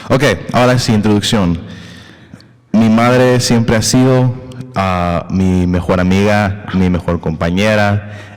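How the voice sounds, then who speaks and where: A man's voice: sits low, a little mellow, in a large hall. A male podcast host talking casually and hesitantly, with many fillers and English words mixed in. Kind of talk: casual conversational interview.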